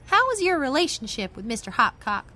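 Bright, high-voiced plucky girl sleuth. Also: sing-song, a little fast, heavily acted. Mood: probing. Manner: bright, wry, eager. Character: plucky, inquisitive young amateur female sleuth. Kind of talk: old-time radio mystery comedy acting.

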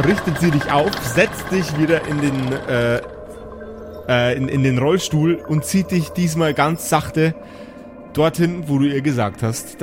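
A man improvising narration as the game master of a tabletop role-playing audio play. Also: on the high side.

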